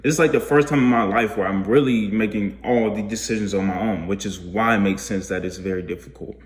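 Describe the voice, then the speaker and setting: A man's voice: fairly low pitch, a little fast, roomy sound. A man in his twenties talking through his life decisions in a rambling, self-questioning monologue, restarting his sentences often. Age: 20s. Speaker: vlogger. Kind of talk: reflective casual monologue.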